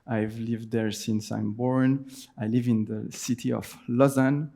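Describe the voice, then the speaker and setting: A man's voice: medium pitch, fairly even intonation, a little slow, vocal fry, dark tone. A man in his twenties with a Swiss French accent, giving a conference presentation with many hesitations and restarts. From Switzerland. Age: 20s. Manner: hesitant, frequent fillers and restarts. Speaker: designer giving a conference talk. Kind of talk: presentation talk.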